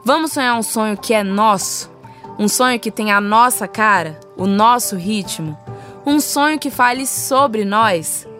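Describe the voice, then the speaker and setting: A woman's voice: mid-range voice, unhurried, fairly bright tone, faint music behind. A Brazilian woman hosting a podcast in a conversational style, effusive and encouraging. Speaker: podcast host.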